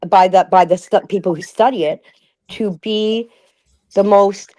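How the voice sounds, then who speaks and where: A woman in a casual livestream discussion, thinking aloud with many restarts and reading passages out slowly. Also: medium pitch, steady tone, warm tone.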